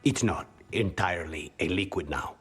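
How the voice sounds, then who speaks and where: An actor playing a dry-witted, brooding assassin in a fantasy game, understated and deadpan. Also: male voice, sits low, moderate pace, soft background music, natural voice.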